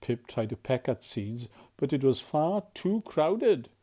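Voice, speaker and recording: Children's storyteller. Mellow, unhurried, expressive, playful questions and hushed moments. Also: mid-range voice. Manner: animated, dramatic, often drops to hushed tones. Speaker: volunteer storyteller. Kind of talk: expressive read-aloud of a children's picture book, with questions to the listener.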